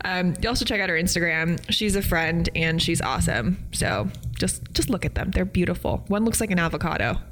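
A woman's voice: lowish voice, lively intonation, a little fast, hardly any pauses, fairly bright tone, a little hiss or hum. Nasal young woman, casual chat. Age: young adult. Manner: laughs often, swears freely. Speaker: podcast co-host.

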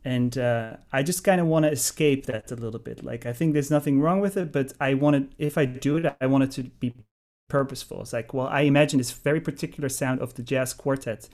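A man's voice: mid-range voice, a little fast, a little mellow. A man, a jazz pianist and composer chatting in an interview, thoughtful and full of restarts. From Netherlands. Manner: thoughtful, rambling with many restarts and fillers. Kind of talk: casual interview chat.

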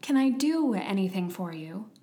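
A woman voicing a polite, kindly young girl in a storybook, with a gentle, earnest and slightly timid manner. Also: medium pitch, expressive, moderate pace, close to an everyday voice. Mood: kind, concerned.

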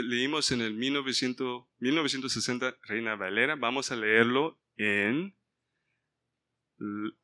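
Bright-voiced man leading a Bible study. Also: mid-range voice. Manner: mixes in English words, stops to ask the group for help. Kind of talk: informal Bible study sermon.

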